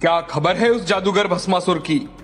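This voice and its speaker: A man voicing a troubled, commanding fairy-tale king in formal, declamatory animated story dialogue. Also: high voice, normal speed. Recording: long hall reverb, faint music.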